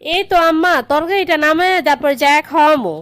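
Woman playing a high-voiced, obedient Bangladeshi daughter-in-law. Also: brisk, fairly bright tone, no echo, studio quality. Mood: dutiful. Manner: deferential, explaining. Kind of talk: comedy cartoon dialogue in colloquial dialect.

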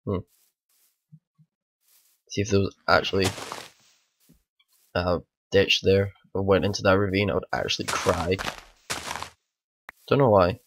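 A man, hoarse from a bad cold, rambling through casual let's play commentary at home. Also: low voice, fairly even intonation, unhurried, pauses often, slight background noise. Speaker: gaming channel host.

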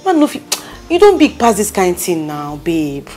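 A woman's voice: on the low side, big pitch swings, moderate pace, a little mellow, faint music behind. A woman with a Nigerian accent acting a bossy, loyal young friend in a drama, speaking emphatically with Pidgin slang. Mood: firm, consoling. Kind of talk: naturalistic film drama acting.

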